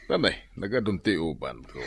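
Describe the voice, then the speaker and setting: A man's voice: sits low, very animated, moderate pace, gravelly, husky, no room sound, clean studio sound, full character voice. A man acting a teasing, self-important town mayor in a radio drama, with a Filipino accent.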